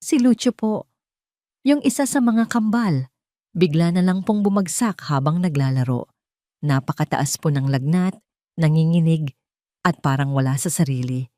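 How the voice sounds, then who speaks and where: A woman voicing an anxious older female neighbor pleading over the phone in a trembling, halting, worried voice for an audio story. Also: low voice, mellow, no echo, studio quality, close to an everyday voice. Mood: panicked, pleading.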